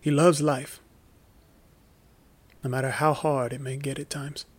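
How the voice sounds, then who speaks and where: A male actor playing a wrongly imprisoned young man telling his story in a halting, reflective monologue for a documentary audio drama. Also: mid-range voice, flat delivery, husky. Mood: solemn, earnest.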